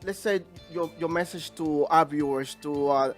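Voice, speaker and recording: A male host interviews a guest, moving between Spanish and English with frequent pauses and restarts. His voice sits slightly high. Faint music runs behind him. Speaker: show host. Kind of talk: bilingual interview hosting.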